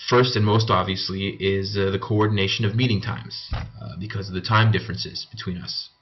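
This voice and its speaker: A young man with a Canadian accent presents a team report in an informal way. His voice sits on the low side, and the recording is somewhat dull and lo-fi. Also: steady tone, a little fast. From Canada (Montreal). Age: young adult.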